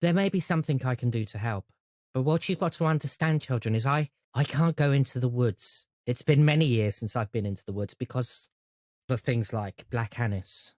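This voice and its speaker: Guy doing a gentle old granny for a tabletop game, very serious and doting, dry close sound. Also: mid-range voice, moderate pace, dry recording, natural voice. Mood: solemn, pleading. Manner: gentle, grave, calls people dear. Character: kindly old grandmother shopkeeper, solemn, secretly more powerful.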